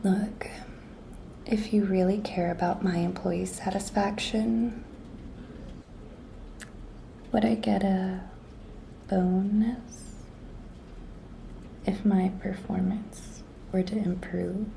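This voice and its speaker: Slow, whispery, flirty office intern played by a woman. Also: mid-range voice, steady tone, dark tone, slight background noise, poor audio. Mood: teasing, bargaining. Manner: breathy, coy, slow and deliberate, often dropping to a whisper. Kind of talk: whispered ASMR roleplay.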